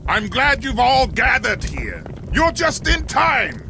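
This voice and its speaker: An actor playing a cruel, taunting warlord commander with a gravelly voice in a video game cutscene. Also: male voice, very high-pitched, wildly swooping pitch, slow pace, noisy background, full character voice. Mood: gloating. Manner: threatening, commanding.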